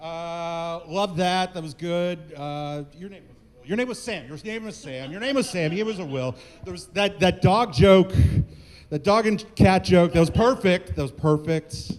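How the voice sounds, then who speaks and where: A man from Mississippi with a Mississippi Southern accent, doing a halting stand-up roast full of uhs and repeated lines. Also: high-pitched. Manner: halting, lots of uh, repeats phrases. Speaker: stand-up comedian. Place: comedy club stage. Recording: long echoing hall reverb.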